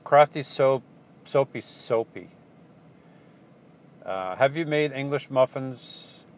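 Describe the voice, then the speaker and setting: A man's voice: medium pitch, lively intonation. Rambling man with a Portuguese accent. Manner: rambling, halting, with many ums and restarts. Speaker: van-life vlogger answering viewer questions. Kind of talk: casual live Q&A chat.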